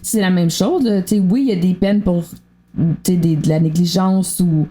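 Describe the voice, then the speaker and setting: Mellow, low-pitched Quebec woman chatting. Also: moderate pace. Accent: Quebec French. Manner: colloquial, thinking aloud. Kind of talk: casual after-show discussion.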